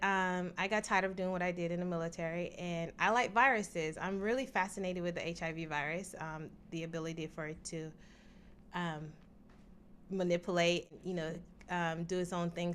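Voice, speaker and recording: A woman's voice: on the low side, fairly even intonation, normal speed, a bit bright, a little hiss or hum. A woman, answering interview questions in a studio in an easygoing, reflective way. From Jamaica. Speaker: interview guest.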